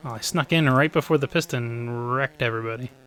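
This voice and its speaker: A man of forty, a bit hoarse, chatting upbeat with viewers while he plays a live speedrun from home. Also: medium pitch, a little fast, fairly bright tone, a little hiss or hum. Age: 40s. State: slightly hoarse. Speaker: speedrun streamer. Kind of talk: live-stream gameplay commentary.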